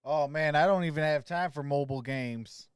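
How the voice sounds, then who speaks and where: A man's voice: a little high, moderate pace, clean tone, no room sound, clean studio sound. A man streaming casual play-by-play of a basketball game.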